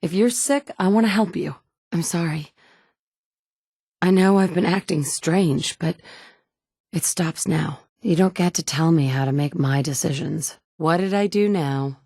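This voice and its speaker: A woman's voice: on the low side, measured pace, dark tone, slightly rough, no room sound, clean studio sound. An actress voicing a blunt, wry woman survivor in a video game, plain-spoken and direct, by turns tender and curt. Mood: even. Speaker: player character. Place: recording studio.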